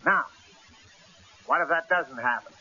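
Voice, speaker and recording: An actor playing a cautious, exasperated newspaper editor in an old-time radio drama, brusque and argumentative. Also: male voice, a little high, measured pace, bright, forceful tone. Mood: worried, argumentative. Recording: hissy, dull old radio broadcast recording.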